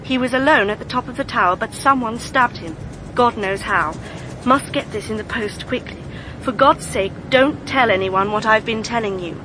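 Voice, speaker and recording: A woman's voice: a little high, moderate pace, over music, lightly acted, low-quality recording. An actress playing an earnest, indignant young woman with a British accent and an emphatic, clipped delivery in a radio drama. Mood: subdued, reading. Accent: British English.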